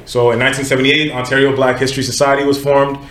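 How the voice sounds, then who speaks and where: A man's voice: mid-range voice, little pitch movement, fast, fairly bright tone, roomy sound. A man in his twenties, from Nigeria, hosting a casual group podcast and talking things through in a conversational way with frequent self-corrections. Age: 20s. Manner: conversational, self-correcting, lots of "you know what I'm saying". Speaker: podcast host.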